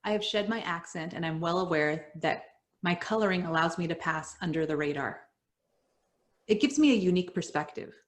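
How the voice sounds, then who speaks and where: A middle-aged woman whose first language is Spanish, reading her personal story in an earnest, measured way that drops softly at the ends of lines. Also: on the low side. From United States. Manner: earnest, measured, dropping soft at line ends. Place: home, virtual event.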